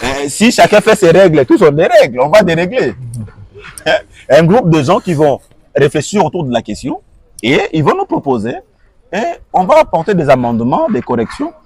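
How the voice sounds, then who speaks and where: A man's voice: a little high, sing-song, normal speed, vocal fry, darkish tone, breathy edge, no room sound. A man answering an interviewer, arguing his point with repeated rhetorical questions and switching between French and Lingala. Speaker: guest.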